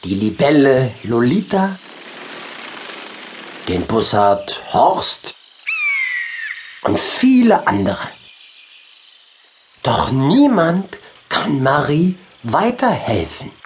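Male children's audiobook narrator, very unhurried, lively melodic delivery, ambience behind. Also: mid-range voice, a little hiss or hum. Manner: expressive storytelling. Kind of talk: scripted children's story narration.

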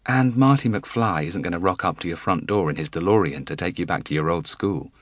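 A man voicing a gentle, worried young wife who speaks softly and steadily. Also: low voice, a little fast, no echo. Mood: gentle, concerned. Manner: soft and steady, softly whispered.

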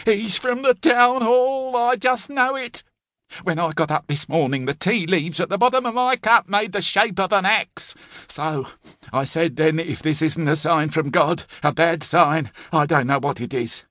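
A man's voice: fairly high pitch, a little slow, a bit bright, no room sound, clean studio sound, voice pushed up. A man reading an audiobook, voicing a frantic, melodramatic woman who runs an orphanage laundry and frets and wails. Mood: panicked. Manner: melodramatic, anxious, wailing.

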